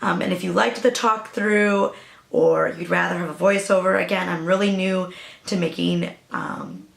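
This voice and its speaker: Raspy young woman doing a makeup vlog, gravelly voice, roomy echo. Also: on the low side, normal speed, fairly bright tone. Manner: chatty, hesitant, with fillers and apologies. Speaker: beauty vlogger. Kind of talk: casual talk-through tutorial.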